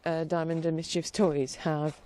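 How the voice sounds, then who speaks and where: A woman host chatting casually outdoors in her garden, rambling along with a blocked-up nose and dropping quieter at times. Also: deep voice, little pitch movement, measured pace. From England. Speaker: podcast host. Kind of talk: casual chat.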